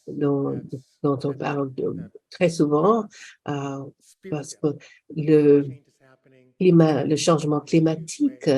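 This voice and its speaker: A woman's voice: sits low, warm tone. A woman moderating a panel, speaking hesitantly with frequent fillers.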